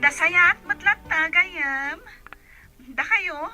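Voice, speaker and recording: A woman's voice: very high-pitched, slow pace, over music, rough recording. A woman playing a chatty, eager village friend in a radio comedy drama, with an Ilocano Filipino accent. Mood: curious, friendly.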